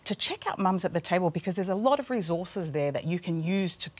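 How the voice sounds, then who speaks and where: Chatty low-voiced woman presenter. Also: lively intonation, a little fast, breathy edge. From Australia. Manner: chatty, laughing, with fillers. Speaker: co-host. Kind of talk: church broadcast presenting. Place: studio with blue screens.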